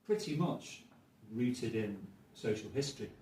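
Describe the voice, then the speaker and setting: A middle-aged man with a Liverpool accent, a podcast guest telling his story in a relaxed, rambling, thoughtful way. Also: medium pitch, unhurried. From Merseyside, England. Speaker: podcast guest, arts director. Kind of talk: casual conversational storytelling. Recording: echoey room, mic at a distance, faint noise.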